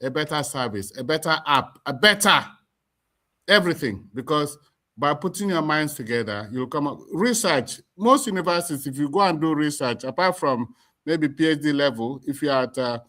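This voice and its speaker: A middle-aged Nigerian man with a Nigerian accent, speaking as an interview guest in a deliberate, emphatic way, repeating words as he forms his points. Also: a little high, lively intonation, pushed and bright. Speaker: community association chairman, interview guest. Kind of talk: explanatory interview talk.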